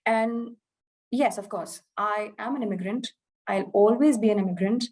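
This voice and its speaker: Indian-accented woman in her 20s, interview guest, earnest and thoughtful, lots of "you know"s, middle pitch. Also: normal speed, a little mellow. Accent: Indian English, softened by years in the UK. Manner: reflective, earnest, many fillers and restarts. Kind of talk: candid conversational interview.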